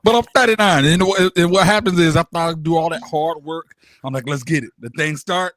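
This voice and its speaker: A man in his thirties on a casual podcast, talking in an animated, rambling way with fillers and restarts. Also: a little high, fairly quick, no echo. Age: 30s. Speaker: co-host.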